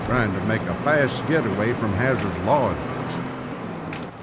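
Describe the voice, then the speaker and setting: A man's voice: on the low side, animated, unhurried. A man playing a wry, folksy narrator with a drawling Southern US accent in a video game. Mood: wry, amused. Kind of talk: scripted narration. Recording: loud vehicle and siren noise behind.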